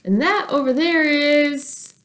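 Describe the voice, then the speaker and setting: A woman's voice: sits high, slow pace, fairly bright tone, pitched above the performer's own voice. Earnest schoolboy, voiced by a woman, dramatic and caring, for a children's storybook. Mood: excited. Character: earnest, imaginative schoolboy, caring but easily exasperated.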